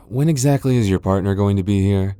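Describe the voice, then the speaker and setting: Man playing a low, sardonic vampire lord. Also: mellow, clear, resonant tone, natural voice. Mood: defiant, weary. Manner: dry, sarcastic, sighing. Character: proud, sardonic male vampire lord, cornered yet defiant. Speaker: voice actor in a roleplay audio. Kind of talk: ASMR fantasy roleplay acting.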